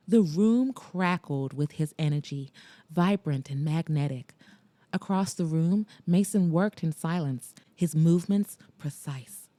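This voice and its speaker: A woman reads a romance novel aloud with a measured, intimate delivery. Her voice is low and mellow, with a dark warmth. The recording is dry and close, with no room sound around it. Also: no echo. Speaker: audiobook narrator. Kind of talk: scripted romance audiobook narration.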